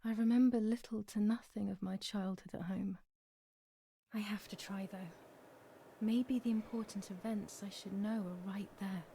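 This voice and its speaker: Actress as a haunted young woman, flat and creaky. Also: medium pitch, slight background noise, close to an everyday voice. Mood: reflective. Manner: sombre, measured, confessional. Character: traumatized young German woman recounting wartime horrors, haunted. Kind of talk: dramatic first-person game narration.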